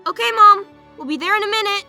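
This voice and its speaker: A woman's voice: extremely high pitch, fast talker, pushed and bright, soft background music, exaggerated voice. A woman voicing an earnest young child who cares about a dog, in a children's comic read-aloud. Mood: eager.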